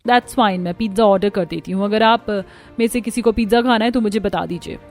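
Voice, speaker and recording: A woman acts out a spoiled, wealthy young bride for an animated family story. She is haughty and disdainful, complaining in a mid-pitched voice and mixing in English words. Faint music plays behind her. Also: a little fast, close to an everyday voice. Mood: snobbish. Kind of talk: Hindi cartoon story voice acting.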